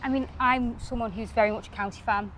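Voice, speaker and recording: A young woman with a Northwest English accent, chatting loosely as a guest in an outdoor pitchside interview. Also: steady tone, fast talker, a bit bright, a little hiss or hum. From Northwest England. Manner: loose, chatty phrasing with frequent hedging fillers. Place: outdoors at a cricket ground.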